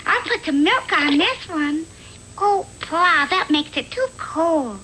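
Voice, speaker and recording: A woman's voice: very high-pitched, expressive, slow, pushed and bright, a little hiss or hum, exaggerated voice, low-quality recording. A woman voicing a careless, self-pleased little girl with a girlish, cooing delivery in a vintage cartoon. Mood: fussy delight. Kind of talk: vintage animated cartoon acting.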